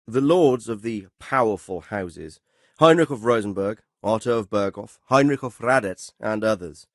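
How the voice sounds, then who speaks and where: A man playing a measured, fatherly but stern middle-aged medieval lord in a video game, speaking formally and with authority. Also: fairly low pitch, lively intonation, no echo, clean studio sound. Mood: measured, explaining. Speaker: lord giving orders and counsel. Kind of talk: dramatic video game dialogue.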